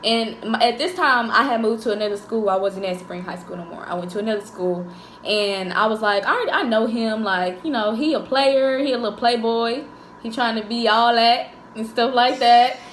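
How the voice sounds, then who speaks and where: A woman, chatting and telling stories in an animated way during a couple's Q&A. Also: on the high side, moderate pace, a little hiss or hum. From Jackson, Mississippi. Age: 20s. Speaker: co-host of a couple's channel. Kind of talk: casual Q&A chat, storytelling. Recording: echoey room.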